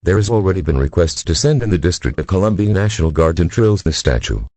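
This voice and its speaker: A man with an extremely low, husky voice reads scripted emergency alerts. His formal, synthetic-sounding delivery moves noticeably up and down in pitch. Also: expressive, quick pace, warm tone, no room sound.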